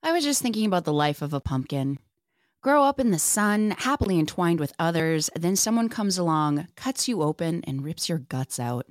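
Woman reading gloomy young woman, slightly low. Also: sing-song, normal speed, no echo, clean studio sound. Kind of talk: table read of a TV comedy-horror script.

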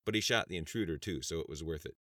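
A man's voice: sits low, quick pace, a bit bright, no echo, clean studio sound. A man voicing a defiant, brash congressman who debates emphatically in a narrated political novel. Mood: cocky. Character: defiant, brash male pro-gun congressman.

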